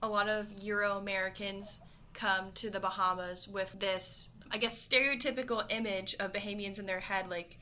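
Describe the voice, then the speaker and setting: A woman's voice: mid-range voice, steady tone, normal speed, bright, forceful tone, slight background noise. A woman co-hosting, putting questions to a guest and narrating commentary. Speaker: co-host and interviewer.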